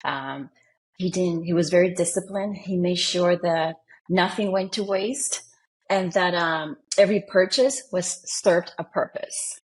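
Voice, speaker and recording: A woman guest from the Dominican Republic with a husky, breathy, lowish voice. She talks about her life in a measured, reflective way, choosing her words with care. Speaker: guest, financial planner. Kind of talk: interview storytelling.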